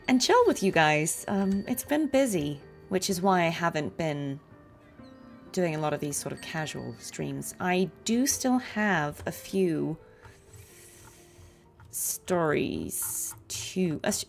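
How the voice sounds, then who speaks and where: A woman's voice: lowish voice, creaky. A woman live streaming a game, chatting casually in a musing, appreciative way.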